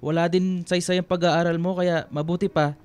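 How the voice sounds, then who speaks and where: A man acts out an old Filipino tricycle driver in a radio drama, pitched high for a male. He is cocky and lewd, pushing his advances and turning nasty when refused. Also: level tone, normal speed, darkish tone, smooth, close to an everyday voice. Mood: angry, insulting. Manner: cocky, pushy flirting that turns nasty. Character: lecherous, boastful old tricycle driver, insulting when spurned.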